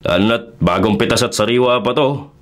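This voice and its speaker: A man playing a stubborn, devoted forest ranger husband, earnest and calmly insistent, in a Filipino radio drama. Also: medium pitch, brisk, close to an everyday voice. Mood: cheerful.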